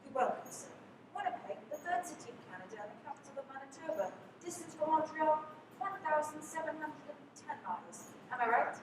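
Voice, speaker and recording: A precise, formal memory act showman played by a woman recites facts in a high voice. The sound is far from the mic in a big echoing hall with clear noise behind. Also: expressive, brisk. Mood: brisk, polite. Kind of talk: radio play acting, reciting facts. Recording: echoey hall from far off, noisy.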